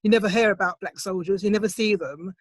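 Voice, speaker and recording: A middle-aged woman poet answering interview questions thoughtfully, in a measured, unhurried way on a video call. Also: medium pitch, breathy edge, dry recording. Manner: thoughtful, measured, with soft hesitations. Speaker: poet, competition winner. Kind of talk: online interview, reflective answers. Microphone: computer mic on a video call, set quiet.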